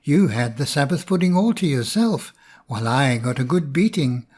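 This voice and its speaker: A man's voice: medium pitch, expressive, moderate pace, darkish tone, close to an everyday voice. A man voicing a greedy, hot-tempered male bear in a storybook reading, blunt and demanding.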